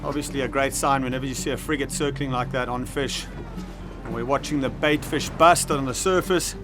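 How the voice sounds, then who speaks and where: A man with an Austrian accent hosting a fishing show from a boat offshore, talking in an excitable, exclaiming way. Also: medium pitch, a little fast. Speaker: host. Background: background music.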